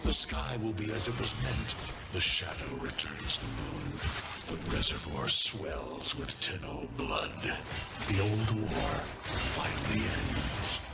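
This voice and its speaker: Slow, gravelly ancient warlord. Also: male voice, fairly low pitch, sing-song, exaggerated voice. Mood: ominous triumph. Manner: slow, ominous, grandiloquent proclamations. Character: menacing ancient sentient warlord, grandiose and patient. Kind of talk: video game villain acting. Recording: loud music over the voice.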